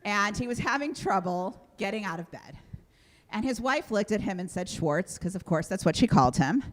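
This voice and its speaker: A woman rabbi telling a story to her congregation in a conversational, animated way. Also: medium pitch, normal speed. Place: synagogue sanctuary.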